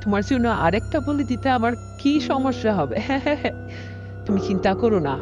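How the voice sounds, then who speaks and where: A woman's voice: on the high side, expressive, normal speed, natural voice. A woman voicing a power-hungry, scheming old woman in a comic cartoon, theatrical and cackling, with a Bangladeshi accent. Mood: gloating, scheming. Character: scheming, power-hungry old woman, ousted ruler turned ghoulish hunter. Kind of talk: exaggerated comic cartoon voice acting. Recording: loud music under the voice.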